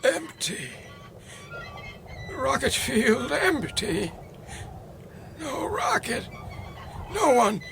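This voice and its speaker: Quavering, high-pitched frail old man. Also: big pitch swings, vocal fry, over music, heavily acted. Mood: bewildered. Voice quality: quavering, tearful. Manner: stammering, halting. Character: frail, frightened, lonely old man, distrustful, breaking down. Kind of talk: radio drama acting.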